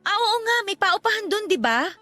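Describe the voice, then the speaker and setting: A woman's voice: extremely high pitch, sing-song, fairly quick, pushed and bright, faint music behind, full character voice. A woman playing a troubled, indignant young working woman in a Tagalog radio drama, talking in an emotional, aggrieved way. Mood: hopeful.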